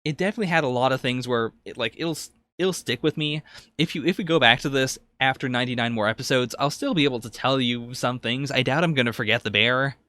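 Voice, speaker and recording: A man's voice: mid-range voice, fast talker, bright, forceful tone. A man co-hosting a podcast, discussing a review casually in tentative, hedging phrases. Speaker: podcast co-host.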